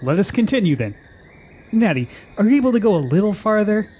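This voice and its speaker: An actor playing a proud, stubborn warrior in a fantasy radio drama, speaking in a brusque, challenging way. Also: male voice, high voice, sing-song, fairly quick, dark tone, breathy edge, full character voice. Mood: firm, urging.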